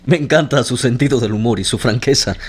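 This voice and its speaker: An actor playing a polite, admiring gentleman doctor in a radio drama, attentive and gently probing. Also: medium pitch, moderate pace, a little hiss or hum, lightly acted. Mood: charmed, genial.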